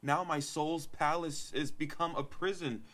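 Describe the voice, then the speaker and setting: A man's voice: a little high, fairly even intonation, a little slow, a bit bright. Man acting a sorrowing young noble heir. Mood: grief-stricken. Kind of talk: Shakespearean verse acting.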